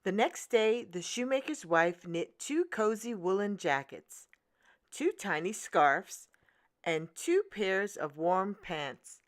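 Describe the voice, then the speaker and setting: A woman reads a children's fairy tale aloud at a slow, deliberate pace. Her mid-range voice rises and falls animatedly as she narrates. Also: mid-range voice, no room sound, clean studio sound. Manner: deliberate, expressive. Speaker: storyteller, children's read-aloud channel host. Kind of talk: scripted storybook narration for children.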